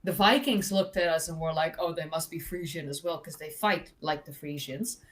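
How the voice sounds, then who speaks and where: Chatty woman presenting history. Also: sits low. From Netherlands, North Holland. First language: Dutch. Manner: chatty, quick, many fillers and self-corrections. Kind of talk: casual live-stream chat about archaeology.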